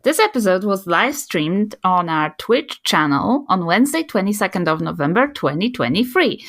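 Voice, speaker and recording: A woman with a New Zealand accent hosting a tabletop game podcast, doing a playful, energetic intro and recap. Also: medium pitch, moderate pace. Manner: lively and playful, reading promos with energy. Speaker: podcast host. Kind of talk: upbeat show intro and recap.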